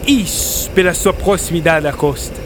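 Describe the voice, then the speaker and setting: An actor playing a proud, curious writer who loves science, theatrical and explanatory, in a radio drama. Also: male voice, a little high, moderate pace, noisy background, natural voice. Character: Jules Verne, proud, curious writer enthusiastic about science. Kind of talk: educational radio drama acting. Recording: music behind a studio radio drama.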